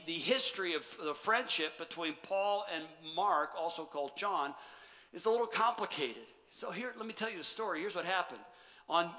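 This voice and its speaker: A middle-aged man preaches from the stage of a church sanctuary, his voice bright and a little high. He moves between animated storytelling and quiet, intimate emphasis. The long church reverb trails after each phrase. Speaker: pastor. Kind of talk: sermon.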